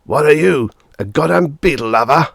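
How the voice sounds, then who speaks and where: A man's voice: fairly high pitch, lively intonation, moderate pace, gravelly, breathy edge, dry recording, clean studio sound, voice pushed up, exaggerated voice. Man voicing an angry, bitter space-colony father. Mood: furious, scornful. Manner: savage, swearing, forceful outbursts. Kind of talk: audiobook character voice.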